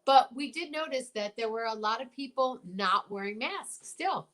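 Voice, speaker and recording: A woman's voice: a little high, animated, normal speed, a bit bright. A woman co-hosting a show in casual interview chat, with an effusive, encouraging manner. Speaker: show co-host.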